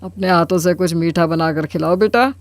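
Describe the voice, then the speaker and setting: A man's voice: on the high side, moderate pace, no echo, close to an everyday voice. Guy voicing kind middle aged father in law, hindi cartoon, soothing, reasonable, close dry recording. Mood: affectionate, cheerful. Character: kindly, easygoing father-in-law who keeps the peace. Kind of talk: Hindi cartoon moral story acting.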